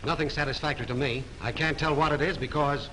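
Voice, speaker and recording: An actor in a 1930s film playing a blunt, gruff police lieutenant questioning people on a film set. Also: male voice, a little high, steady tone, quick pace, background noise, close to an everyday voice.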